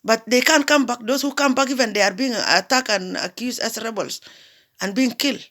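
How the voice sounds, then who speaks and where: A woman's voice: fairly high pitch, fairly quick, sharp tone. A woman from South Sudan giving an impassioned political address, emphatic, repeating phrases and asking rhetorical questions. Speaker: diaspora commentator.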